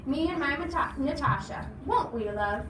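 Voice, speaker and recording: A woman's voice: high voice, lively intonation, moderate pace, pitched above the performer's own voice. A woman voicing a world-weary, protective fairground man who speaks earnestly and placatingly. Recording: noisy echoey room, distant mic.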